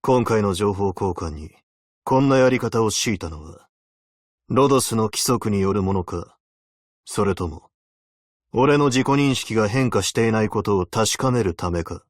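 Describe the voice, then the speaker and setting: A man's voice: on the low side, animated, unhurried, no echo, studio quality. A man voicing a stern, weary veteran abyssal hunter in a video game, speaking in a terse, solemn, commanding way. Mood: cold, stern. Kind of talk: Japanese video game character voice lines.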